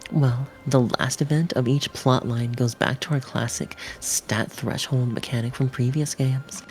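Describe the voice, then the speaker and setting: A woman's voice: extremely low pitch, steady tone, measured pace, a little mellow. A woman reading video game narration and tutorial text aloud as a stream co-host. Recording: music behind the voice.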